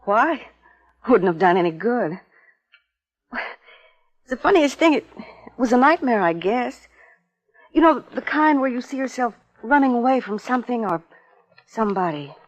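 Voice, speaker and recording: Troubled young wife, highish husky voice. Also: female voice, animated, lo-fi sound. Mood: anxious, earnest. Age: young adult. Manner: earnest, hesitant, dramatic pauses. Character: troubled young wife haunted by recurring nightmares. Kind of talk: 1950s radio suspense acting and first-person narration.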